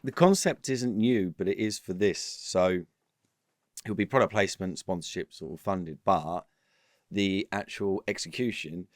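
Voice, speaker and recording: A man, a company executive guest on a podcast, talking in an animated, rambling way with frequent restarts. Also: deep voice, a little slow, no echo, studio quality. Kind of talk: casual business interview.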